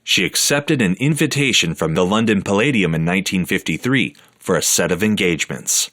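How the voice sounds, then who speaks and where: A man reading scripted documentary narration in a measured, factual way. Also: deep voice, animated, fairly bright tone, dry recording. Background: music.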